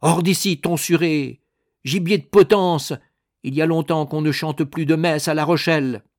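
A man's voice: on the high side, fairly even intonation, normal speed, dry recording, clean studio sound, pitched above the performer's own voice, lightly acted. A man voicing a zealous, hostile Huguenot soldier in a storybook reading, crying out insults aggressively. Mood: aggressive contempt. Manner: loud, aggressive, jeering.

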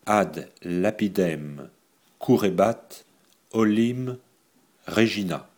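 Guy reading a scared young girl, halting and unsure, low husky voice. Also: expressive. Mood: hesitant. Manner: hesitant, halting. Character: frightened young woman straining to remember.

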